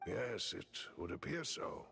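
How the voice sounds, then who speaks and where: Gravelly, low gentleman attendant. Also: big pitch swings, a little slow, slight background noise, full character voice. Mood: fond chiding. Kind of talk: video game voice acting.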